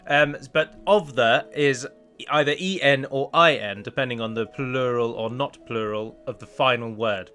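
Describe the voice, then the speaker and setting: Guy doing a chatty lets play, bright voice, pokes fun at himself, bit of music under it. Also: mid-range voice, moderate pace. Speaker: gaming video host and mod developer. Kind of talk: casual let's play commentary.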